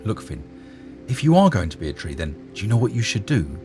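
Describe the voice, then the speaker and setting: A man voicing a caring, concerned mother in a storybook, coaxing and gently questioning her young son. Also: lowish voice, big pitch swings, a little fast, soft background music. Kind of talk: storybook character voice.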